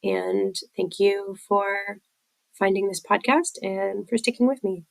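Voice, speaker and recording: Woman, nasal congestion from a cold, candid rambling podcast talk, some vocal fry. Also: mid-range voice, fairly even intonation, slow, no room sound, rough recording. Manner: candid, rambling, many fillers. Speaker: podcast host. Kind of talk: personal recovery podcast share.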